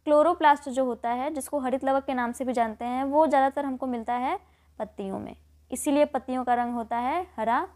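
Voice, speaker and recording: A woman's voice: high voice, brisk, darkish tone. A woman with an Indian accent teaching an exam lesson, explaining questions and answers and often rephrasing key points.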